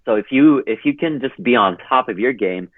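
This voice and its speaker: A man's voice: mid-range voice, lively intonation, normal speed, dry recording. A man about to turn thirty, from California, talking casually as an interview guest and rambling with many fillers. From California, USA. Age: turning 30. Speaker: guest runner. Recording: tinny phone-like line.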